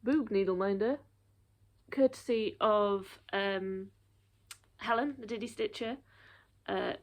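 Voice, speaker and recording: A woman's voice: medium pitch, slow pace. A woman in her thirties chatting casually through a show-and-tell of her cross stitch projects, rambling with frequent ums and a little out of breath.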